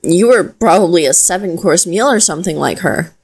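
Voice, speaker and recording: A woman playing a caring, frank witch in an audio roleplay, speaking to the listener in a gentle, conversational way. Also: fairly low pitch, expressive, a little fast, breathy edge. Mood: incredulous. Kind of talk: intimate audio roleplay acting, addressing listener.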